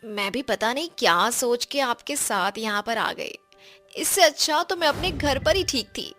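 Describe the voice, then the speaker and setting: A jittery teenage girl in a cartoon horror tale, as a woman voices her, high and bright with slow, drawn-out lines. She sounds easily spooked, with a nervous edge. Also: expressive, faint music behind. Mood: complaining. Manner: high, jumpy, panicky when scared. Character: frightened teenage daughter Julie. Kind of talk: animated storybook dubbing.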